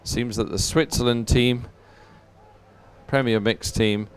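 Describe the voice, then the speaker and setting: A man's voice: mid-range voice, fairly even intonation, takes it slowly, smooth. A man giving live, unscripted sports commentary in a chatty, hesitant, explanatory way.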